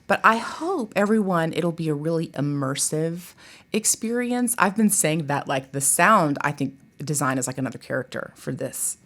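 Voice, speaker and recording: A woman's voice: deep voice, lively intonation, moderate pace, a bit bright. A woman talking casually and enthusiastically as an interview guest, in a chatty way. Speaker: guest, college theatre director.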